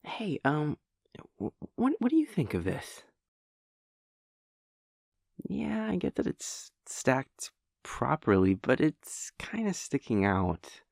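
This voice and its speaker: A man acts out an anxious young male hunter for an anime roleplay. He speaks slowly with creaky edges and keeps stammering apologetically. His pitch wanders up and down, in a close, dry recording. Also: wildly swooping pitch, no echo, full character voice. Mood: awkward, unsure. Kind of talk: anime roleplay audio drama.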